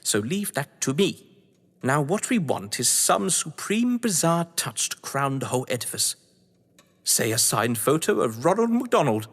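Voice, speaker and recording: A man acts out a theatrical English lord of the manor who writes crime novels. He is high-pitched and swoops grandly as he gives pompous, arch orders. The audio drama rings with long hall reverb. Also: big pitch swings, measured pace, exaggerated voice. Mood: arch, amused.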